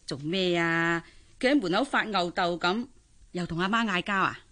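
Kindly, coaxing older aunt. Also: female voice, lowish voice, normal speed, bright, forceful tone. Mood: calling, curious. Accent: Hong Kong Cantonese. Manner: coaxing, chatty, with soothing interjections. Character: kindly, persuasive older aunt, a domestic helper. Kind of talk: Cantonese radio drama acting.

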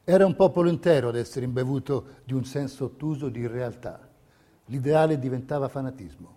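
An actor playing a solemn narrator who reads wartime history and letters in a theatrical documentary style. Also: male voice, medium pitch, expressive, a little fast, dark tone, natural voice. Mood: grave.

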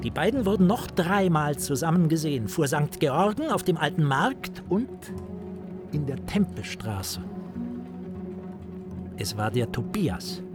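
A courteous, self-important storyteller in a radio play, with a fairly high, very animated voice. He draws his sentences out formally and theatrically, savouring every sinister turn, with music behind him. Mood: ominous. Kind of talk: German radio play acting.

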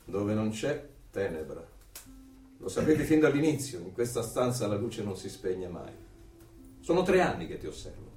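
Cold, controlling interrogator, distant and roomy. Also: male voice, fairly low pitch, big pitch swings, darkish tone, faint music behind. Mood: calm menace. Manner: measured, didactic, menacing, authoritative. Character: cold, controlling male interrogator and torturer of the regime. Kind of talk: radio drama acting. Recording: roomy, distant, faint noise.

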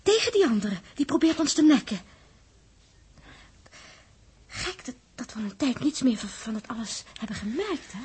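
High, animated young spacewoman. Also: moderate pace, pauses often, breathy edge, heavily acted. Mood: anxious. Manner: hesitant, breaking off and repeating words. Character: anxious, teasing young woman crew member on a spaceship. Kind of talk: 1960s Dutch radio drama acting.

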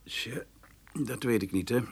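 A man's voice: mid-range voice, a little slow, vocal fry, breathy edge, exaggerated voice. An actor playing a cautious, reassuring male negotiator in a radio drama, in an everyday voice.

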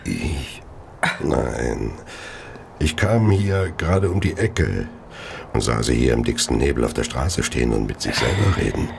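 An actor playing a coldly contemptuous gentleman serial killer in a radio play, speaking in a deliberate, theatrical and sinister way. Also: rumbling low, big pitch swings, creaky, a little hiss or hum, heavily acted. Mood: smooth, predatory. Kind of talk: radio play narration and dialogue.

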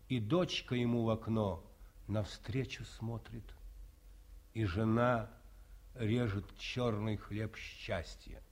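A grieving war colonel, slow and solemn. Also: male voice, lowish voice, a little mellow. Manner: slow, measured, solemn speech. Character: grieving war colonel, weary and dignified, man. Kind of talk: 1950s radio drama acting.

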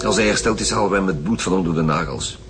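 An actor with a Flemish accent playing a blunt, impatient, commanding chief inspector in a radio police drama. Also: male voice, low voice, fast talker, music in the background, close to an everyday voice. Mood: brisk, grim.

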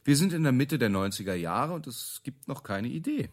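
A man's voice: mid-range voice, sing-song, normal speed. An actor playing a cool, self-assured businessman being interviewed in a radio drama, speaking assertively and tersely. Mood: pondering, confident. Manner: terse, assertive, jargon-heavy. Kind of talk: naturalistic radio drama dialogue.

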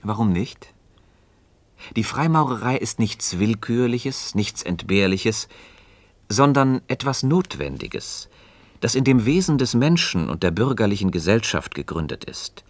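An actor playing a calm, cryptic Freemason in a radio dialogue, speaking formally and deliberately. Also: male voice, fairly low pitch, dry recording. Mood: earnest, assured. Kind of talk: German radio play dialogue.

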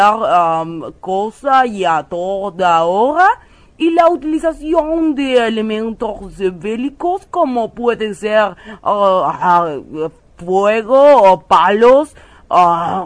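A woman's voice: medium pitch, animated, slow, no echo. A woman playing a French biologist in a radio satire, lecturing formally and hesitantly with a French accent. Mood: solemn lecturing. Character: female French biologist expert, solemn, pseudo-scientific, hesitant. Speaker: interviewed expert guest. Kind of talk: satirical radio theatre interview.

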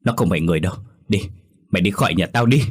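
A man's voice: low-pitched, very fast, slightly rough. A man voicing a terrified older woman who shrieks in panic about demons. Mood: frantic accusing.

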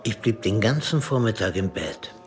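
An actor playing a shabby old anarchist bomb maker in a radio drama, speaking in a terse, measured, self-satisfied way. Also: male voice, fairly low pitch, expressive, faint music behind, close to an everyday voice. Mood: cool, smug.

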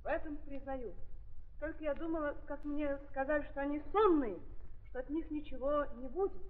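Actress playing young accused woman, weary, very high voice, simple repetitive speech, vintage radio play, thin tinny sound. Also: normal speed, close to an everyday voice. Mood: defensive, pleading. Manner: plain simple speech, repetitive insistent denials. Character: weary, frightened young woman defendant, a prostitute on trial. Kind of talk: 1930s Russian stage radio acting. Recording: tinny, narrow-band 1930s archive recording.

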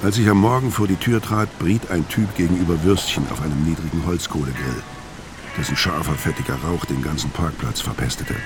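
A man's voice: very deep voice, a little fast, music in the background. An actor in a radio crime drama playing a laconic, brooding, world-weary middle-aged tough guy. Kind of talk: first-person narration in radio crime drama.

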